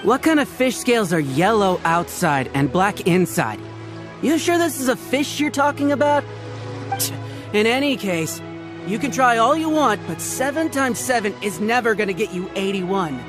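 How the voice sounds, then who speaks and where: An actor playing a proud, fussy fish seller in a video game, with an indignant street-hawker patter. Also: male voice, high-pitched, expressive, normal speed. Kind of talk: video game cutscene dialogue. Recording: game audio with background music.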